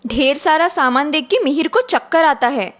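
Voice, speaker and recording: A woman's voice: high voice, animated, moderate pace, fairly bright tone. A woman reading scripted narration for a cartoon family story.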